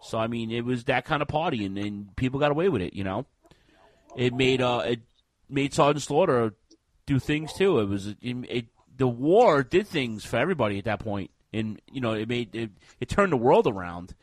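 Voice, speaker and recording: A man co-hosting a casual wrestling podcast, talking in a rambling, animated way with many restarts. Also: medium pitch, normal speed, dry recording. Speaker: podcast co-host.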